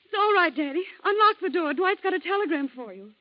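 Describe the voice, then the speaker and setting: A woman's voice: extremely high pitch, big pitch swings, quick pace, dry recording. A woman playing an anxious, pleading young daughter in breathless 1940s radio melodrama style. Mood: alarmed. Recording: muffled old 1940s radio recording.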